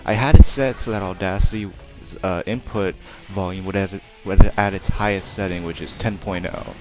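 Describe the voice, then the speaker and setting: Quiet low-voiced young man rambling. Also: steady tone, normal speed. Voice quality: naturally quiet voice. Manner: rambling, chatty, self-deprecating, many fillers. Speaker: let's play commentator. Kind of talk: casual gameplay commentary. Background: game music.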